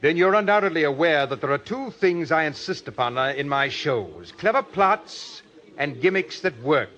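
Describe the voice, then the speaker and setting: An actor playing a vain, domineering show boss with condescending, formal diction, in 1940s radio drama style. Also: male voice, a little high, animated, moderate pace, fairly bright tone. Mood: imperious, brisk. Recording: dull old radio broadcast recording.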